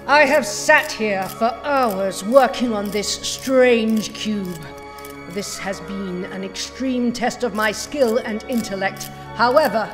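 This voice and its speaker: A woman's voice: mid-range voice, a bit bright, lightly acted. A woman playing a haughty, scornful renegade scientist in an audio drama, imperious and precise. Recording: long reverb, faint music behind.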